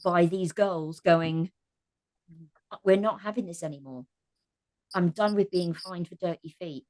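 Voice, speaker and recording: A woman's voice: on the low side, measured pace, darkish tone. A woman talking casually but with passion as a podcast guest, earnest and emphatic, repeating phrases for emphasis. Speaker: podcast guest, cast member of an audio drama. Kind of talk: impassioned casual discussion.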